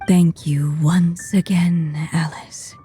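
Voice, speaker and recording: Dying female storyteller, gentle, wistful, dark soft tone, breathy, slow, game acting. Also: sits low, flat delivery, faint music behind. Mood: wistful, tender. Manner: slow, tender, measured.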